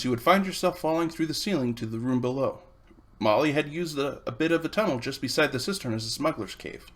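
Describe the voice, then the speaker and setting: Male storybook reader, slightly high pitch, expressive and varied melody in the narration. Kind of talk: storybook narration read aloud.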